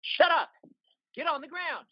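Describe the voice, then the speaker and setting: High-voiced, barking federal agent played by a woman. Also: lively intonation, a little slow, no room sound, highs cut off. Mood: angry, exasperated. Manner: barking orders, forceful. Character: Leams, exasperated, commanding federal agent under pressure. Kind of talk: audio drama acting.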